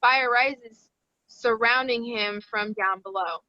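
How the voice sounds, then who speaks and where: A woman narrates a script's stage directions at a table read. Her voice is bright and mid-pitched, recorded very dry and slightly dull in fidelity. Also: takes it slowly, no echo.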